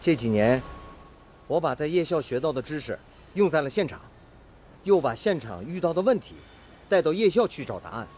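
A man's voice: fairly high pitch, sing-song, moderate pace, long pauses, a little mellow, slight background noise. A man acting a young, eager and slightly bashful steel-mill technician in a Chinese radio drama, speaking with sincere devotion. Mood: earnest. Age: young adult.

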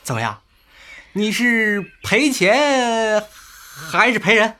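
A man's voice: sits high, lively intonation, slow pace, bright, forceful tone, heavily acted. An actor in a radio drama playing a taunting, blustering male street thug who bullies and leers. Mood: smug, leering. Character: leering male street thug, bullying extortionist.

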